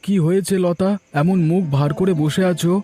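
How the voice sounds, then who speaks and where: A man's voice: sits high, steady tone, moderate pace, dark tone, close to an everyday voice. A man voicing a concerned, reasonable young village husband in a children's cartoon, with an earnest manner.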